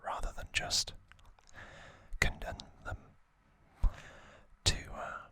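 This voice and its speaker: A man whispering breathily as an ASMR host, telling a story in hushed, short phrases with pauses between them. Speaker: host of an ASMR trivia channel.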